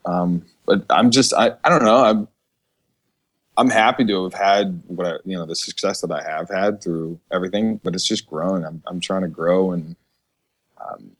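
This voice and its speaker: Low-voiced man chatting casually. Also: moderate pace. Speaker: guest, musician. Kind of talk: casual podcast chat.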